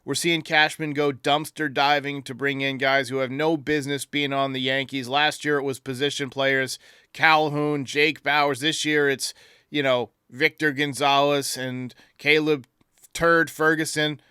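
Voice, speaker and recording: A man's voice: medium pitch, little pitch movement, unhurried, pushed and bright, smooth, dry recording, studio quality. A man hosting a sports podcast, giving opinionated, critical commentary in an emphatic manner. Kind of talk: sports commentary, answering listener voicemails.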